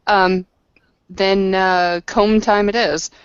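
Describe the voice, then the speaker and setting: A woman's voice: mid-range voice, steady tone, takes it slowly, smooth, no room sound. Sweary woman playing a tabletop game. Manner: swearing, argumentative, slangy. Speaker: player in a tabletop roleplaying game. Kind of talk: casual game chat. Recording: dull, close lo-fi recording.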